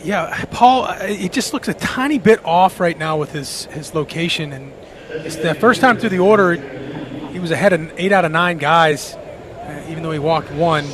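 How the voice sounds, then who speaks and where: A man's voice: fairly high pitch, moderate pace, background noise. An American man giving conversational baseball analysis as a radio color commentator, circling back and repeating phrases as he goes.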